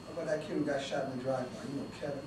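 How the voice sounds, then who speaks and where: A man's voice: mid-range voice, rapid-fire, background noise, close to an everyday voice. An actor playing an earnest male vice cop who takes his cases to heart and teases his partner. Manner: earnest, teasing. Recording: echoey room picked up from a distance.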